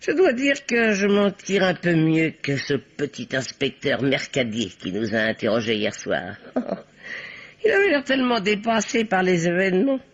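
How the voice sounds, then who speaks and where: An actress playing a bossy, self-assured older spinster who plays detective, speaking theatrically and with authority in an old radio play. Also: female voice, on the low side, very animated, moderate pace. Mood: self-satisfied. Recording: dull, lo-fi old radio recording.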